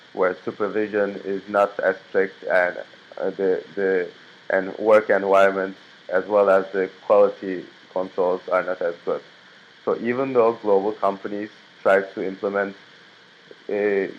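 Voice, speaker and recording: A man from Turkey, in his twenties, giving a class video introduction in a plain, steady way with frequent fillers and restarts. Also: deep voice, dull audio, slight background noise. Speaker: student.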